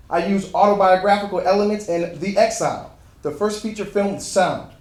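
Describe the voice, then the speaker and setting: Man acting as proud pioneering filmmaker, high voice, formal measured monologue, echoey live room. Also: darkish tone. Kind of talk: first-person historical monologue, read aloud. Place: indoor room at a live reading event.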